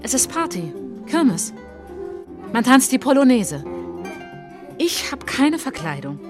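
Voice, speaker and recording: An actress narrating as a reflective, resolute woman looking back on her childhood in a German radio drama, in measured short phrases. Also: fairly high pitch. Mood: intense, proud. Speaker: narrator of autobiographical monologue. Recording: music behind the voice.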